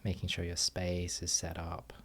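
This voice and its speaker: A man guiding a meditation as a teacher, giving gentle instructions with long pauses. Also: low-pitched, monotone. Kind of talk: guided meditation.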